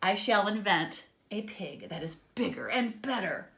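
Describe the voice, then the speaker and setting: A woman voicing a lonely, excitable pig inventor from a children's storybook, with dramatic exclamations. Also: medium pitch, a little slow, creaky. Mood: excited.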